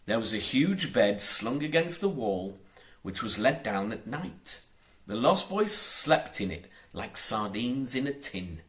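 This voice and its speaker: A man narrates a children's story at an unhurried pace. His medium-pitched voice rises and falls widely, and each phrase is set out deliberately and expressively for young listeners. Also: fairly bright tone. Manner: measured, deliberate storytelling delivery. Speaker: audiobook narrator.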